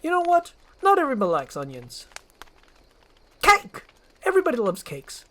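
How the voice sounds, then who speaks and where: A cheeky talking donkey from a storybook tale , acted out by a solo narrator. He is a pestering, excitable chatterbox. The voice leaps high and swoops all over. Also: moderate pace, exaggerated voice. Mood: eager. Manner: talkative, pestering, animated.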